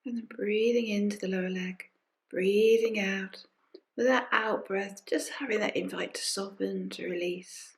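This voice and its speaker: A woman leading a guided meditation as a teacher, speaking gently and unhurriedly in short phrases with pauses and soft invitations. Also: mid-range voice, fairly even intonation. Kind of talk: guided body scan meditation. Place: recorded during an online session.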